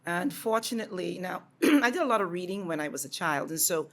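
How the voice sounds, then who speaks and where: A Bahamian woman educator in her fifties, guesting on a podcast. Her voice sits a little low, and she tells her story earnestly in quick bursts. Bahamian dialect is her first language. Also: animated, a bit bright. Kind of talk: podcast interview.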